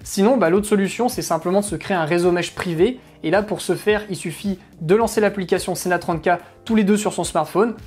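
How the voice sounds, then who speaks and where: Fast-talking woman reviewing gear. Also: lowish voice, a little mellow, breathy edge, soft background music. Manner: informal, chatty. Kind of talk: casual explanatory product review narration.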